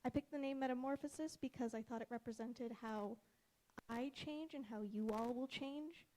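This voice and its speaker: A woman's voice: high voice, normal speed, darkish tone, a little hiss or hum, low-quality recording. A young woman presenting her student design and answering questions in an earnest, accommodating way.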